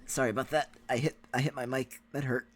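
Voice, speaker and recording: A man's voice: mid-range voice, flat delivery, fast talker. A man co-hosting a reaction livestream, chatting casually with the viewers. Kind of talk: casual chat with stream viewers.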